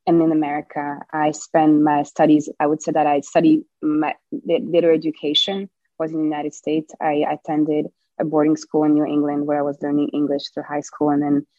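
A woman's voice: sits low, monotone, a little fast, warm tone, dry recording, lo-fi sound. A woman in her twenties from Rwanda, answering interview questions earnestly with frequent restarts. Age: 20s. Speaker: podcast guest.

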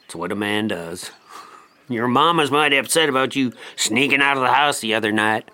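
A man's voice: mid-range voice, normal speed, pushed and bright, natural voice. An actor playing a kindly but firm elderly grandfather with a Southern US accent in an audio drama. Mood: fond, instructive. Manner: folksy, fond but firm.

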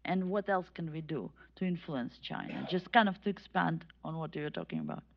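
A woman's voice: sits low, big pitch swings, brisk, vocal fry. A woman with a Russian accent asking a panel a question from the audience, earnest and rambling. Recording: dull lo-fi audio.

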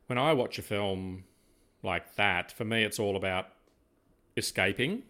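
An older Australian man with a fairly low voice speaks in casual podcast conversation. His phrasing is wordy and deliberate, with plenty of qualifiers as he builds each point. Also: sharp tone. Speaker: podcast co-host, former police officer.

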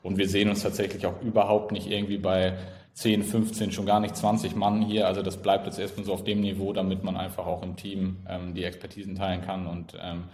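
A man's voice: low voice, little pitch movement, fairly quick, a little mellow. A man in his thirties from northern Germany with a northern German accent, a guest in a casual interview, talking with many fillers and restarts. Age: 30s.

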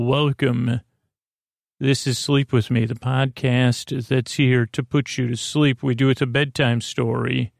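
A man hosting a sleep podcast in a creaky voice, lulling and soothing, meandering off into tangents. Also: mid-range voice, no echo, studio quality. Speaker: podcast host. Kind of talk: rambling bedtime sleep-podcast monologue. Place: small closet.

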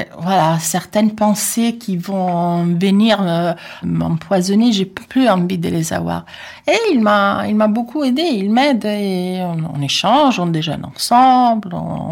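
A middle-aged woman with an Italian accent talks at length in an interview, her voice sitting in a mid range. She is chatty and reflective, pausing often with fillers. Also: medium pitch, creaky. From Italy. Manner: talkative, reflective, with frequent hesitations and soft laughs. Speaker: interview guest. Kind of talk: personal conversational interview.

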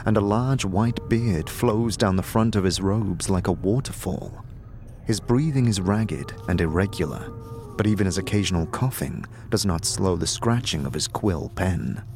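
Male narrator, deep voice, scripted fantasy audio-drama storytelling over light music. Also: a little mellow.

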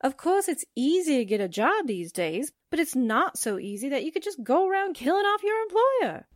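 A woman's voice: sits high, very animated, normal speed, dry recording, studio quality. A woman playing a pert, wisecracking secretary sidekick in a 1940s radio drama, with a sassy, flippant delivery. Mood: pert, teasing.

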